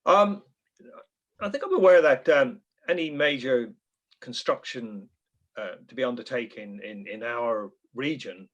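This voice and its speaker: A British man putting an unscripted question as a committee member, with halting, tentative phrasing. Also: medium pitch, dull audio. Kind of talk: formal meeting question, unscripted.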